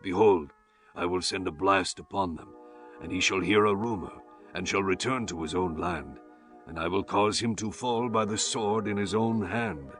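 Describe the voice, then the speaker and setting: An actor playing a solemn, authoritative Hebrew prophet, proclaiming in a dramatized scripture audiobook over music. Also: male voice, sits low, moderate pace, a bit bright. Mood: solemn, assured. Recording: prominent music under the voice.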